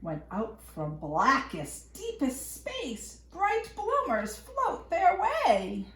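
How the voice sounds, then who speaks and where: A woman reading a picture book aloud to young children in an expressive, playful way with dramatic emphasis. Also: sits high, drawn-out words. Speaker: children's storyteller. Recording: echoey room.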